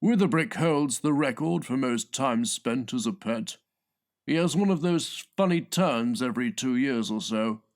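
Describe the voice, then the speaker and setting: A man voicing a discreet, earnest gang member who speaks in a hushed, matter-of-fact, confidential way in a comic audiobook. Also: mid-range voice, expressive, a little slow. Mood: confiding. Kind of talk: comic audiobook character reading.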